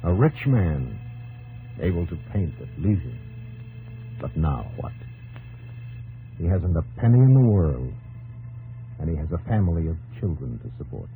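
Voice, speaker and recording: A man with a fairly low voice narrates an old 1950s radio drama. He speaks in solemn, clipped, dramatic phrases. Organ music plays behind him on the aged broadcast. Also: sing-song, a little slow, pauses often, phone-quality sound.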